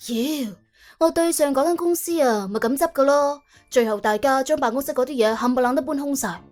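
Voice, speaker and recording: A woman's voice: high-pitched, a little fast, a little mellow, soft background music, natural voice. A woman voicing a streetwise, world-weary young female debt collector, sardonic and colloquial, in an audio drama. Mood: indignant. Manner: colloquial, sardonic, exclamatory, argues with rhetorical questions. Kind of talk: audio drama character acting.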